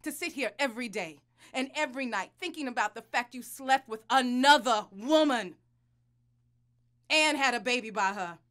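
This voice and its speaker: Bitter anguished wife in a radio play, intense, kinda high and sharp voice. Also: female voice, expressive. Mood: accusing, angry. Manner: intense, accusing, deliberate. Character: bitter, anguished wife and reluctant adoptive mother, devout. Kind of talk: dramatic audio drama acting. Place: small recording studio. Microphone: studio condenser mic.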